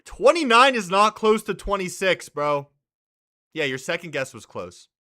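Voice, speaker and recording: Young American man streamer, twenties, bright, animated delivery, slangy and excitable. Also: high-pitched, unhurried. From California, US. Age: 20s. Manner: excitable, slangy, swears, says "bro"; reads and answers chat messages. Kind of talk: casual live-stream chat.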